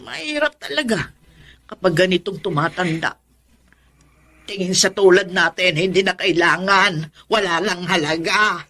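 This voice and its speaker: A woman's voice: lowish voice, measured pace, pushed and bright, heavily acted. An actress with a Filipino accent playing an aggrieved, stubborn older woman in a radio drama, plaintive and sulking. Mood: hurt, self-pitying. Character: aggrieved, self-pitying, stubborn elderly woman who feels unwanted.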